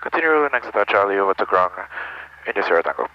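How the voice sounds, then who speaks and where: A man's voice: on the low side, big pitch swings, rapid-fire. A man flying as a pilot, reading back tower instructions in clipped phrases over aircraft radio. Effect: aviation radio. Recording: muffled, crackly aircraft radio.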